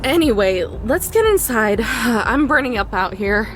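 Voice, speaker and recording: A guarded young woman in a visual novel game. She is down-to-earth and reluctantly kind, with a highish, animated voice. Music plays behind her. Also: unhurried. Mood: dry. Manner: skeptical, down-to-earth. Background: loud music.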